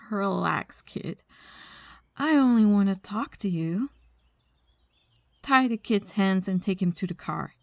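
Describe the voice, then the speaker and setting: A woman playing a commanding, mocking mafia boss in an ASMR audio drama, speaking deliberately with condescension and quiet menace. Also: mid-range voice, lively intonation, vocal fry, dry recording. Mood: mocking, menacing.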